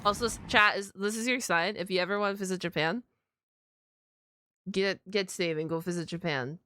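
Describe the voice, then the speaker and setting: A woman streamer giving a casual live reaction, excitable, slangy and freely sweary. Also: mid-range voice, moderate pace, no room sound. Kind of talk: casual livestream reaction chat.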